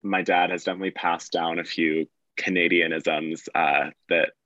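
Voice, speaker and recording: A man who is a guest on a podcast, talking in a relaxed, rambling way with lots of fillers. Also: deep voice, normal speed, no room sound, lo-fi sound. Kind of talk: casual chat.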